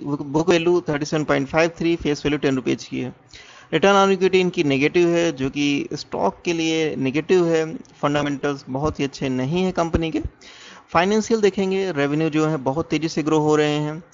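An Indian man narrates an explanatory analysis in the manner of an online finance presenter. His voice sits a little high for a man, with a noticeable gravelly fry. The recording is dull and lo-fi. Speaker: presenter of a stock market education channel. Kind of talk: explanatory stock analysis narration over screen share. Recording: dull, muffled lo-fi voice-over.

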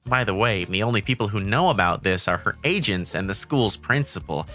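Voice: low-pitched, animated, fairly quick, bright, forceful tone, faint music behind. A narrator telling a first-person romantic comedy manga story in a scripted dub style.

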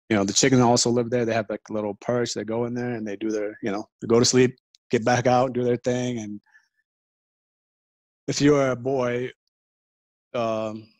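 Flat, low-voiced man from Ethiopia. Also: no echo. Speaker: guest. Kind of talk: casual storytelling on a call.

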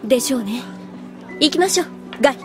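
A woman playing a serious, gently caring young soldier with a measured, plain-spoken manner in an anime audio drama. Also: high-pitched, expressive, over music. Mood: composed.

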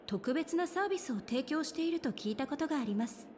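A helpful young guide in a video game. She has a high voice and a courteous, informative manner, with faint noise behind her. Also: animated, quick pace, a little mellow. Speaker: game voice actor. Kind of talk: Japanese game dialogue.

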